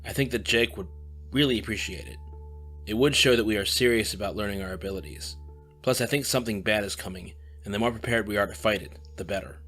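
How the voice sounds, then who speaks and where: A man's voice: fairly low pitch, fast talker, fairly bright tone, faint music behind. An actor playing a weary young male student with magic powers who speaks bluntly and swears when tired.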